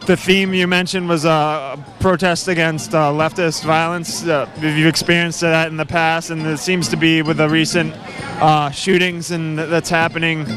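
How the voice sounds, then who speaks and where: A man interviewing at a street protest, asking hesitant, halting questions full of fillers. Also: fairly high pitch, level tone, music in the background. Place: outdoors at a city protest.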